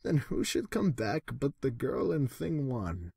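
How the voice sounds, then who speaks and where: Male narrator, slow pace, lively pitch swings, theatrical, rhyming horror storybook style. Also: creaky, husky, no room sound, studio quality.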